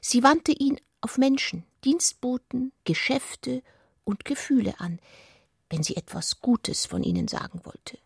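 A woman reading scripted literary narration for a radio play, soft and intimate in manner. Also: high-pitched, lively intonation, unhurried, creaky, slightly rough, no room sound, studio quality.

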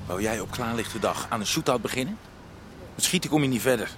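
An actor playing a bullying gang leader in a radio play, blunt, commanding and mocking. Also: male voice, medium pitch, expressive, a little fast, noisy background, lightly acted. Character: brusque, bullying thug leading a gang.

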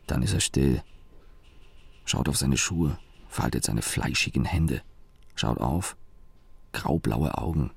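An actor playing an employee's sardonic inner voice, narrating a radio play in dry, clipped observations. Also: male voice, very deep voice, slow, creaky, pauses often, slightly rough, heavily acted. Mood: dry, observant. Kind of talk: radio play narration, present-tense monologue.

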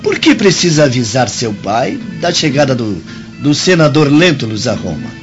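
A man's voice: medium pitch, huge pitch swings, moderate pace, over music. An actor playing a haughty young Roman nobleman in a radio drama, commanding and condescending in a theatrical way. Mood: imperious. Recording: dull lo-fi radio drama recording.

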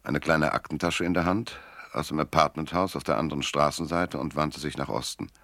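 A man's voice: a bass voice, steady tone, a little fast, fairly bright tone, no room sound, heavily acted. A man playing a weary, cynical private detective in a radio drama, narrating and talking in a laconic, dry, world-weary way. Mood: cool, detached. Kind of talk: first-person noir narration and dialogue in radio drama. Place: dry studio.

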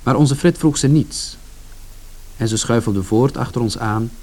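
An actor playing a reflective son who narrates a bleak family story in a radio drama. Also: male voice, mid-range voice, moderate pace, darkish tone, close to an everyday voice. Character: George, reflective younger son recalling his family's bleak Saturday. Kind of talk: scripted first-person narration in radio drama.

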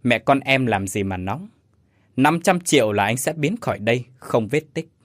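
A man plays a smug young male schemer in an audio story. He is mercenary and sly, with lively mid-range delivery over music. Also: mid-range voice, normal speed, no echo, studio quality, lightly acted.

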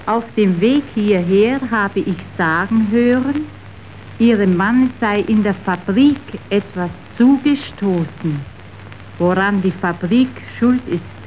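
An actress playing an earnest, apprehensive young woman in a radio drama, grave and deliberate in delivery. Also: mid-range voice, natural voice. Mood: apprehensive, gentle. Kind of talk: scripted radio drama acting. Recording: dull, faintly hissy old radio recording.

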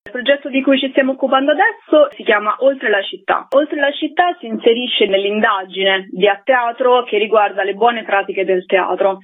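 A woman answers as an interview guest in an informative, orderly way. Her voice sits at a medium pitch. The audio is thin and narrow, much like a phone connection. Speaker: interviewed guest, cultural association representative. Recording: thin phone-like band-limited sound.

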